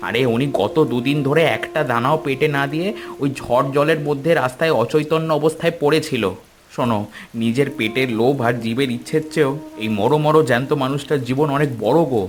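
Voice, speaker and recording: A man's voice: medium pitch, a little fast, natural voice. An actor playing a poor, kind-hearted village father in a cartoon, earnest and musing aloud. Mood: firm. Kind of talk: cartoon voice acting.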